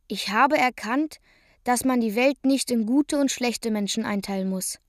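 A sober camp survivor's monologue voiced by a woman in a literary radio play. The voice is fairly high and recorded dry. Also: no room sound, lightly acted. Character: camp survivor listing hard-learned lessons. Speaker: narrator.

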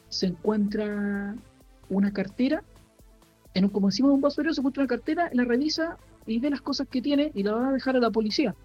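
A man co-hosting a podcast, casually recommending a book in a halting way, full of fillers and restarts. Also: sits high, dull audio. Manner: halting, many fillers and restarts while explaining. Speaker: podcast co-host.

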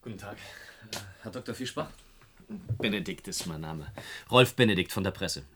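An actor in a German radio play playing a polite press reporter who asks attentive, probing questions. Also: male voice, on the low side, expressive, normal speed, a bit bright, a little hiss or hum. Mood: polite, inquiring.

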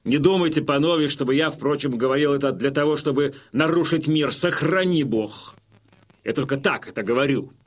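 An actor playing a wily Cossack chieftain who addresses his warriors with measured, folksy, feigned humility in an audio drama. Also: on the high side, lightly acted. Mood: sly, humble. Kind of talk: theatrical audio drama oratory.

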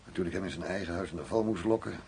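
An actor playing a wry, weary, middle-aged undercover police commissioner in a radio drama, speaking in a measured, dry way. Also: male voice, sits low, a little mellow. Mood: weary, reflective. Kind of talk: Dutch radio drama acting. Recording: noisy radio play recording.

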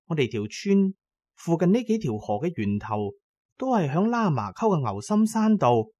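A man's voice: on the high side, big pitch swings, normal speed, mellow, no room sound, voice pushed up. A man voicing a young village woman who tells eerie local lore in a folksy way, in an audio drama.

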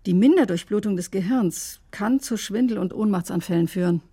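A woman's voice: medium pitch, a little fast, warm tone, slightly rough, natural voice. An actress playing a defensive, conscience-stricken woman doctor in a radio drama, recounting events in a precise, clinical way. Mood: matter-of-fact. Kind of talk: German radio drama acting, testimony-like narration.

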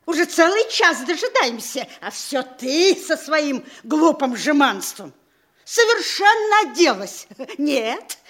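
A woman's voice: sits high, fairly bright tone, heavily acted. An actress playing a fussy, impatient, bossy middle-aged provincial mother in a theatrical classic stage comedy, exclaiming and scolding.

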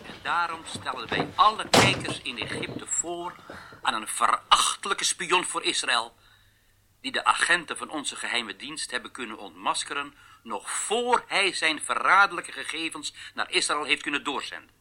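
A pompous state broadcaster in a radio play. His voice is extremely bright and cutting. He declaims like a solemn accuser, interrogating for the viewers. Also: on the high side, animated, normal speed, heavily acted. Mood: denouncing. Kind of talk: propaganda broadcast announcing in radio drama.

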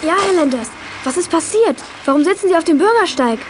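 Selbstbewusstes Mädchen, hohe Stimme. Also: female voice, very high voice, expressive, brisk, a little mellow, a little hiss or hum. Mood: concerned, decisive. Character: bright, assertive girl, athletic and spirited. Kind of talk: German children's audio drama acting.

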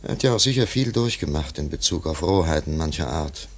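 Quiet sympathetic friend in a radio drama, very deep creaky voice, reflective. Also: male voice, expressive, brisk, heavily acted. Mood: subdued, reflective. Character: subdued male family friend, sympathetic, reflective. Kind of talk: German radio play acting.

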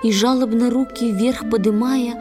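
A woman narrating an audio play in verse, slow, solemn and expressive. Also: on the high side, over music. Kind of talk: scripted dramatic verse narration.

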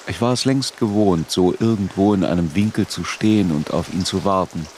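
A man acts as an introspective literary narrator in a radio drama, recalling a troubled boyhood. His voice sits on the low side, with faint rainy noise behind it. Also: fairly quick, a little mellow, natural voice. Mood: melancholy, reflective. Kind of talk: literary first-person radio play narration.

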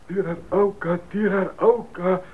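A man's voice: high voice, steady tone, a little slow, narrow, tinny audio. A man playing a panicked, agitated jungle villager in a radio drama, frantic and repeating himself in broken phrases. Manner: frantic, repetitive broken Spanish. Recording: thin, band-limited old radio.

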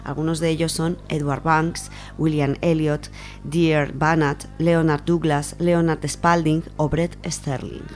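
A woman's voice: low-pitched, little pitch movement, slow pace. A woman from Spain presenting on radio, giving an informal, semi-prepared account in an explanatory way, restating points as she goes.

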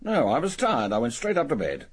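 An actor playing a blustering, dismissive older English country colonel in a radio drama. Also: male voice, mid-range voice, huge pitch swings, brisk, breathy edge. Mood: indignant, defensive.

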